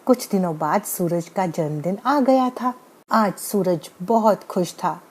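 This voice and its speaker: A woman's voice: fairly low pitch, takes it slowly. A woman narrating a scripted bedtime moral story as the storyteller.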